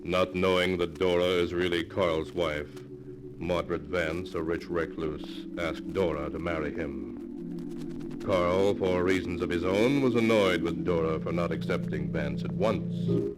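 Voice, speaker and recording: An actor playing an ominous storyteller, narrating a radio drama in a solemn, deliberate, old-time style. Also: male voice, sits low. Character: ominous storyteller reading from a sealed book. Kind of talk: 1940s radio scripted narration. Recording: old radio recording, loud music behind. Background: loud music.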